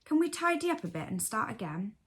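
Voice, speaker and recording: A woman voicing a patient, kindly old male toy bear, gentle and reassuring, in a bedtime storybook. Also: on the high side, very animated, fairly quick. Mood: resigned.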